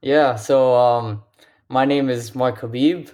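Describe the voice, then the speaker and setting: A man from Lebanon joins a casual football podcast over a call. He talks in a hesitant way, with frequent ums and restarted phrases, at an ordinary middle pitch. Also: fairly even intonation, takes it slowly, a little mellow. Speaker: guest. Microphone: remote call.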